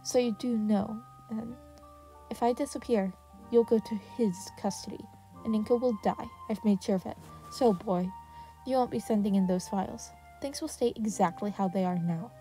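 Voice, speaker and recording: A woman's voice: medium pitch, moderate pace, dark tone, soft background music, natural voice, poor audio. A woman voicing a cold, abusive male henchman in an audio story, threatening and domineering. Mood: menacing. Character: menacing abusive man, cold villainous henchman threatening a boy.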